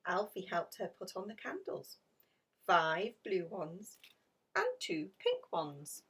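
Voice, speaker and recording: A woman reading a children's picture story aloud in an expressive, dramatic storytelling manner. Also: mid-range voice, slow, creaky, breathy edge, recorded from a distance. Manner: animated, dramatic emphasis with hushed passages. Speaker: storybook reader.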